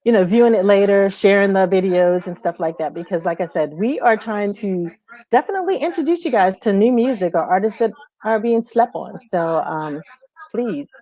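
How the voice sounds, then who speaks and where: A woman with a mid-pitched voice hosts a music show. She speaks informally, as in an everyday conversation with a friend. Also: expressive, moderate pace, warm tone, no room sound. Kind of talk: casual chat.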